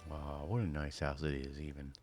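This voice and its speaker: A man's voice: very deep voice, fairly even intonation, moderate pace, over loud music. A man giving casual walkthrough commentary on a video game, talking through each of his own actions. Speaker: gaming video host.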